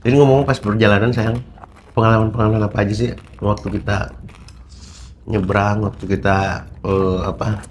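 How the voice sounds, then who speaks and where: A man's voice: fairly low pitch, little pitch movement, moderate pace, pauses often, a little hiss or hum. A young man from Indonesia hosting his channel, chatting casually and rambling with many fillers while snacking. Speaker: vlog host. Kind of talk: casual chat.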